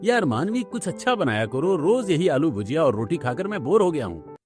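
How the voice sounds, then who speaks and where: An easily pleased young husband in a family cartoon, played by a man, who loves his food. He talks in a lively, swinging voice with faint music underneath. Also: on the high side, fairly quick, pitched above the performer's own voice. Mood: bored, complaining. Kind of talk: cartoon dialogue.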